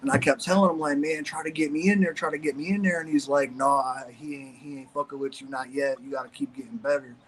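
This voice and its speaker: A man's voice: fairly high pitch, fairly even intonation, fast, few pauses, a little hiss or hum, poor audio. Profane young man telling stories. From Ohio, USA. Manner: loose, profane storytelling with many fillers. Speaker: guest, former pro BMX rider. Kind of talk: casual podcast chat.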